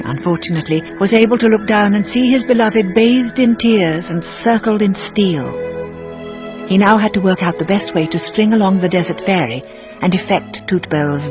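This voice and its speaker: Female storyteller: wry, scripted fairy-tale narration, slightly muffled, soft music behind. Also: on the low side.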